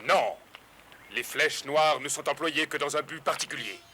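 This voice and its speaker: A man's voice: fairly high pitch, normal speed, bright, forceful tone, slight background noise. A man playing a bossy, sardonic leader of forest outlaws in a French cartoon dub, mocking and authoritative. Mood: stern.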